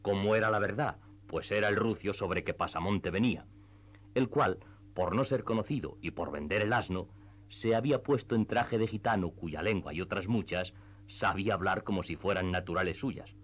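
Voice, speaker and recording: A man narrating a classic novel in a radio drama, with measured literary storytelling. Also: sits low. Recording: slightly dull, band-limited audio. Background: faint background music.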